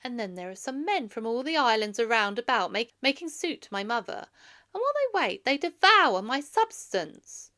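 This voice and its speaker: An earnest, grieving young prince read by a woman addresses an assembly in a storybook. The delivery is emphatic and oratorical, bright in tone, with pitch that moves a great deal. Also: big pitch swings, normal speed. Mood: distressed, pleading.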